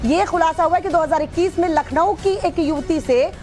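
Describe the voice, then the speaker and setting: A woman's voice: very high-pitched, normal speed, a little mellow. A woman anchoring live television news, presenting and putting questions to a reporter. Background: music.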